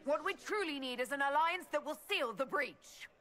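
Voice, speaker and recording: A woman's voice: high voice, animated, moderate pace, sharp tone. An actress playing an earnest, heroic elven woman in a fantasy game. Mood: earnest defiance.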